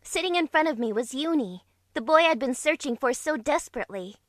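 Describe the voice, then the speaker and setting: A woman's voice: high-pitched, expressive, a little fast, no echo, studio quality. A woman playing an anxious, emotional young survivor, narrating a scripted drama in the first person with earnest feeling. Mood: tense, heartfelt. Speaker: narrator and lead character in an amateur fan dub. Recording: music playing behind the voice.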